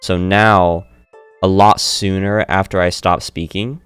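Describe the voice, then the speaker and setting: A man with a very low voice talks through his audio setup in a relaxed tutorial, pausing to correct himself as he works. He speaks into a studio microphone at a home streaming desk. Faint music plays behind him. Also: smooth, dry recording. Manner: relaxed, self-correcting explainer. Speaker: streamer demonstrating audio software. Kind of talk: casual screen-recorded tutorial. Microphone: studio dynamic mic through audio interface.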